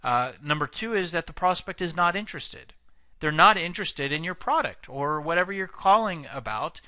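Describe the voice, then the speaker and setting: Bright, clear-voiced man teaching sales. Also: a little high, expressive, normal speed, dry recording. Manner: conversational, frequent fillers and restarts. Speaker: sales trainer. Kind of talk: instructional video lecture.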